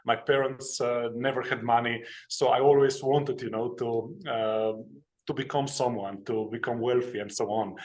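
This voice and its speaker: A man's voice: mid-range voice, fairly even intonation, normal speed. A man from Slovenia, a guest telling his story in an interview, plain and earnest, with many hesitations. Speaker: guest, author and investing educator.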